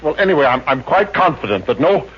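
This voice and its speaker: A man's voice: a little high, big pitch swings, fast, husky. A man playing a self-important, easily flustered psychiatrist, stammering and pompous, in 1950s radio comedy style. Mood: flustered, indignant. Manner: hesitant, stammering, indignant pomposity. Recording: dull, noisy old-time radio recording.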